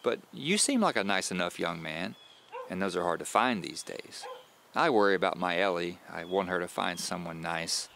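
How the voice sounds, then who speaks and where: A man's voice: deep voice, animated, normal speed, gravelly, fairly bright tone, slight background noise, exaggerated voice. A man voicing a cheerful, chatty, nosy woman of about seventy in an audio drama, with a folksy, fussing manner. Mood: fretful.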